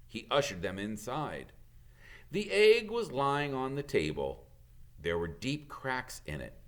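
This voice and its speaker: A man reading a children's story aloud as an expressive narrator, like a teacher reading to pupils. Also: medium pitch, slow.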